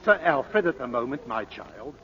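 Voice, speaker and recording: A man's voice: medium pitch, very animated, moderate pace, lightly acted. A man playing a jovial, florid con man posing as a knight, pompous, in a muffled 1940s radio drama. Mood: jovial, delighted.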